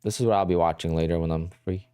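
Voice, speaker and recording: Man, very low voice, mellow tone, casual rambling livestream chat. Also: fairly even intonation, quick pace, smooth, no room sound, clean studio sound. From New Jersey. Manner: rambling, conversational, answers chat questions. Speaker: day trading livestream host.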